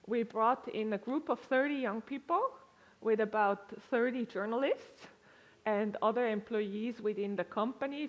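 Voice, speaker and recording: Woman conference speaker, Swiss-tinged accent, middle pitch, relaxed delivery full of fillers. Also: muffled recording. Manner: conversational, with frequent "uh" and restarts. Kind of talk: presentation with slides to a live audience. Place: lecture room with an audience.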